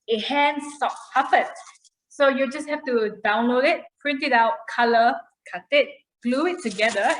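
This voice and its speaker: Lady presenting a kids show, highish voice, roomy echo, encouraging, walks kids through activities. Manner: encouraging, explains step by step. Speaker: children's show host. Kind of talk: presenting a children's puppet show, instructing and leading activities. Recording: roomy, echoey sound.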